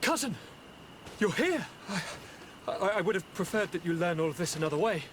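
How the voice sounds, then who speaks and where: A man's voice: sits high, animated, fairly quick. A man playing a tormented young nobleman in a video game, theatrical and earnest. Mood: startled, conflicted. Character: tormented young nobleman turned monstrous, fervent. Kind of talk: video game cutscene acting. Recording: clear background noise.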